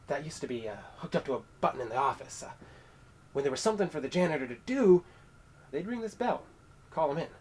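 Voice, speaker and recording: Guy acting a bitter unhinged young dude, kinda high voice, horror radio play, some background noise. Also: animated, fast, close to an everyday voice. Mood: eerie, storytelling. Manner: accusatory, menacing, brooding. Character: resentful, unhinged young man menacing his roommate with a knife. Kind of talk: horror audio drama acting.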